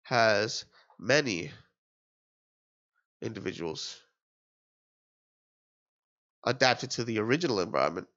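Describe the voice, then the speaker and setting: A man's voice: on the low side, animated, slow pace, pauses often, a bit bright. A man in his thirties working through an exam question as a tutor, sounding nasal from a cold and thinking aloud with fillers and restarts. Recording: dull lo-fi screen recording.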